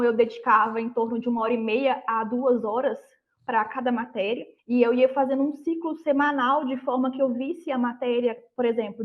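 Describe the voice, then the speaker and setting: A woman's voice: sits high, narrow, tinny audio. A woman in her thirties with a Brazilian accent from Minas Gerais, answering interview questions in an explanatory, conversational way. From Belo Horizonte, Brazil. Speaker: interview guest, lawyer who passed a prosecutor exam.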